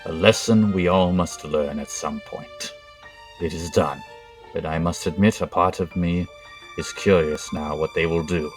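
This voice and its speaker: A man voicing a grim, obsessive scientist in a dramatic comic dub, with a hushed, measured, formal and menacing delivery. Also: sits low. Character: cold, grim, obsessive male royal scientist, weary and menacing.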